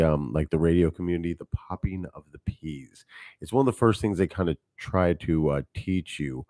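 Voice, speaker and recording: A man's voice: low voice, mellow, dry recording. A man hosting a live podcast, rambling and chatty, talking to his viewers, at times a bit nasal, on a studio mic. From Texas. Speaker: podcast host. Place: home studio. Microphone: studio mic on a mixing board.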